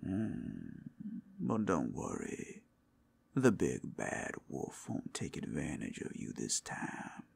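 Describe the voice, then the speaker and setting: A man playing a big bad werewolf as a tender, protective lover in an intimate ASMR roleplay, crooning soothingly in a gravelly, breathy half-whisper. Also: extremely low pitch, exaggerated voice. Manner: soothing, crooning, coaxing with pet names.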